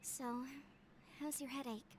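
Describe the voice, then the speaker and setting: High-pitched, caring young sister. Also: female voice, fairly even intonation, a little slow, a little hiss or hum. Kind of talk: video game voice acting.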